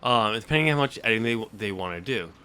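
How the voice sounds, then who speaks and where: A man who hosts a podcast, talking casually about books in a halting way and often restarting his sentences mid-thought. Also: lowish voice, animated, bright, forceful tone, smooth. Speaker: podcast host and novelist. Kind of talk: casual book discussion.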